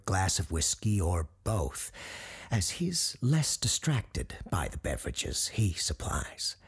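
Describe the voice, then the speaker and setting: An actor playing an eerie, learned radio host who tells supernatural tales in a measured, ominous way with deliberate pauses, his voice husky and gravelly. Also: low voice, a little mellow, heavily acted. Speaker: paranormal advice show host. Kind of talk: scripted dramatic storytelling.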